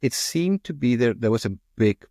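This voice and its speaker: A man's voice: mid-range voice, lively intonation, moderate pace, dark tone, no echo, studio quality. A man from South Africa with a South African accent, a marketing agency founder talking casually as an interview guest, often repeating and restarting words as he thinks aloud.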